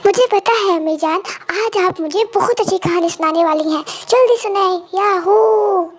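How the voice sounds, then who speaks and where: A woman's voice: very high-pitched, moderate pace, pitched above the performer's own voice. A woman voicing an eager, chirpy little boy parrot in a children's moral story, earnest and childlike. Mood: excited.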